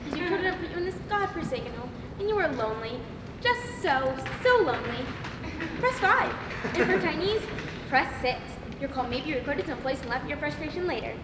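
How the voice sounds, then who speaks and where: A woman's voice: high-pitched, fairly quick, lightly acted. A woman playing a chirpy automated phone menu in a stage comedy, with a scripted customer-service manner. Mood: bright, scripted. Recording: echoey hall, far mic, clear noise.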